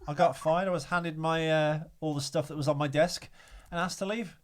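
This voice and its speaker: A man's voice: a little high, fairly even intonation, normal speed. Rambling young man podcast host. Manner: rambling, candid, crude humor. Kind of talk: casual chat.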